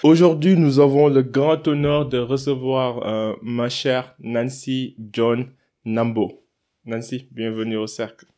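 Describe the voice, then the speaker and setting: A man hosting a podcast, chatting in a relaxed way with frequent fillers and laughs. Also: medium pitch, slow pace. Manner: relaxed, laughs often, many fillers and restarts. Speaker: podcast host. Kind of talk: casual interview.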